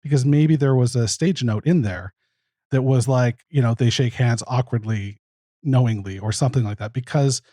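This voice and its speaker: A man's voice: mid-range voice, normal speed, warm tone, no echo, clean studio sound. A man appearing as a podcast guest, musing informally about a film. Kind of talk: casual film discussion.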